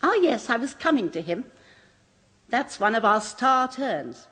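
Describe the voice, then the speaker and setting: An actress playing a composed woman who runs a waxworks museum, speaking briskly and precisely, in a vintage radio drama. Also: a little high, big pitch swings, a bit bright, slightly rough, full character voice. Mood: cool. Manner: brisk, precise, faintly macabre relish. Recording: muffled old radio broadcast.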